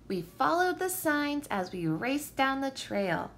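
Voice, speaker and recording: Animated, high-voiced woman reading to children. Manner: animated, deliberate phrasing for young children. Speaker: teacher reading a picture book aloud. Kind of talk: expressive children's story read-aloud.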